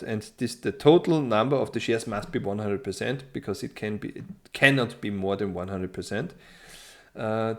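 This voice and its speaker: Austrian man with a German-speaking accent, mid-pitched, friendly and halting tutorial voice-over. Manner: hesitant, many ums and restarts, friendly. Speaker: tutorial presenter. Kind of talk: screen-share software tutorial.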